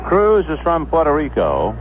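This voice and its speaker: A man doing live radio baseball play-by-play, describing the action in measured phrases with pauses between them. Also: on the high side, wildly swooping pitch. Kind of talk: live radio sports commentary. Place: stadium broadcast booth. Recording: muffled 1970s AM radio broadcast, background noise.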